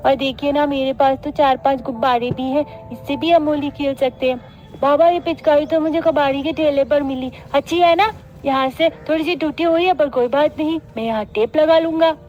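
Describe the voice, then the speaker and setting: A woman's voice: high-pitched, steady tone, normal speed, darkish tone, lo-fi sound, soft background music, close to an everyday voice. A woman voicing an innocent, plaintive small child from a poor family in a cartoon story. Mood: innocent, hopeful. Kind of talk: Hindi cartoon story voice acting.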